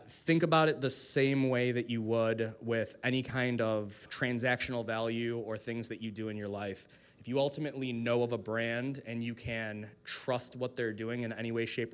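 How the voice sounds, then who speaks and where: Male guest speaker, medium pitch, casual explanatory delivery for a live informal presentation on a mic. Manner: casual, explanatory, lightly profane. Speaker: guest speaker, digital marketing strategist. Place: live talk to a group in a room. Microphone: event microphone.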